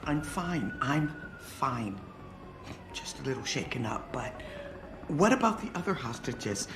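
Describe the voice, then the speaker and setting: Low-voiced freed hostage, echoey. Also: female voice, very animated, a little slow, full character voice. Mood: shaken, grateful. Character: shaken, earnest female company employee, freed hostage. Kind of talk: video game voice acting. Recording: echoey game audio with clear background noise.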